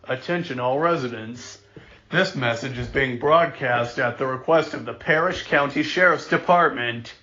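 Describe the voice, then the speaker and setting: A man reads a scripted mock emergency broadcast as the announcer, solemn, deliberate and emphatic, sometimes stumbling and repeating words. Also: mid-range voice, bright, forceful tone. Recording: dull lo-fi recording.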